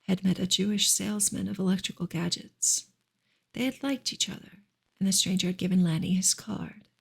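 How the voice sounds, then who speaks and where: A woman reads a novel aloud in a soft, hushed ASMR style, sometimes close to a whisper. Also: medium pitch, creaky, slightly rough. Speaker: reader on an ASMR channel. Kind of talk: soft ASMR audiobook reading.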